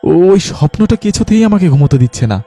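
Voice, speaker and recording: A man's voice: high voice, animated, quick pace, dark tone, faint music behind, pitched above the performer's own voice. A man playing a broke, hungry, brooding street mugger in a cartoon story. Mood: weary, troubled. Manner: grumbling, brooding. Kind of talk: Bengali cartoon voice acting.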